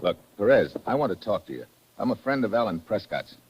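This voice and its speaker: An actor playing a tough, wry American correspondent investigator in a brisk, hard-boiled 1950s radio drama style. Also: male voice, lowish voice, big pitch swings, slightly rough. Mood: wry, probing. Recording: dull, noisy old radio recording.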